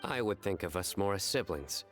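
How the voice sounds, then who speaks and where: Casting: grave young game lawman, deep-pitched, measured and serious. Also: lively intonation, fairly bright tone, soft background music. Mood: grave, caring. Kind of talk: video game cutscene acting.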